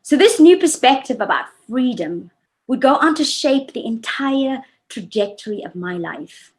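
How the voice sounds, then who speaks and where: A woman in her forties giving a prepared personal talk, measured and reflective. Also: high-pitched, animated. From South Africa. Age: 40s. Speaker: speaker. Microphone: video call.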